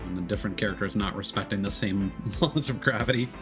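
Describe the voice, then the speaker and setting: A man's voice: fairly low pitch, quick pace. A man streaming a video game, giving casual, off-the-cuff commentary. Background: synth game music.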